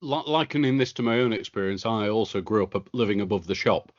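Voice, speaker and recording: A man's voice: lowish voice, fast, muffled recording. A man hosting a podcast, welcoming guests in a friendly, casual way and stumbling now and then on words. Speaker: podcast host.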